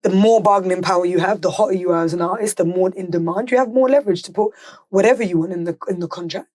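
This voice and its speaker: A woman's voice: on the low side, fast, a little mellow. A woman speaking as a podcast guest in a casual panel discussion, blunt, emphatic and sure of herself. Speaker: podcast guest, music legal and business affairs manager.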